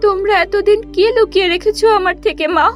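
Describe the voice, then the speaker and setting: A woman's voice: very high-pitched, fairly even intonation, fast, soft background music. A woman playing a tender, anxious teenage daughter in a cartoon, with an emotional, pleading manner. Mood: shocked. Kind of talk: Bengali cartoon voice acting.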